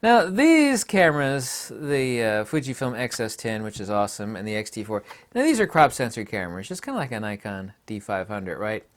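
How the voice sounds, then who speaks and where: Emphatic, scornful man with swooping pitch. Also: big pitch swings, normal speed, hardly any pauses. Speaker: photography YouTuber. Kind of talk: opinionated vlog monologue.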